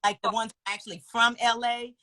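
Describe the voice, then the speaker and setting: A woman from Los Angeles hosting a casual interview, animated and slangy with lots of encouraging interjections. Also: a little high, normal speed. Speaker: host.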